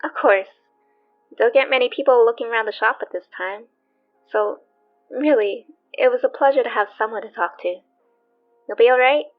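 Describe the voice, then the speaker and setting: An actress playing a polite, chatty young shop assistant with a wry streak in an audio drama. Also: female voice, on the high side, moderate pace, lightly acted. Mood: helpful, friendly. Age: young adult. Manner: courteous, helpful, wry. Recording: muffled sound with music behind.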